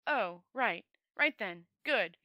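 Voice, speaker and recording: A woman puts on a weary, grumpy wizard from a fantasy storybook, a hapless coward of a man. His voice is forceful and close, grumbling with exasperation. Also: mid-range voice, animated, slow pace, no echo. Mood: deflated.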